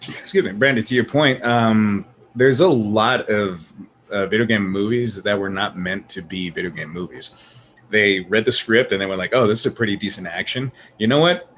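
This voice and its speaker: A low-voiced man co-hosting a casual chat about movies. He talks in an animated way, curses freely and slips into mock voices of studio bosses. There is a faint room hum behind him. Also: normal speed. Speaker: podcast co-host.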